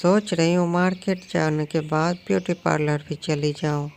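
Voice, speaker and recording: A man voicing a young daughter-in-law sparrow who pleads, stammers and sometimes talks back in a high, squeaky voice. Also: steady tone, moderate pace, vocal fry, slight background noise. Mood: pleading timid. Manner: pleading, stammering when nervous.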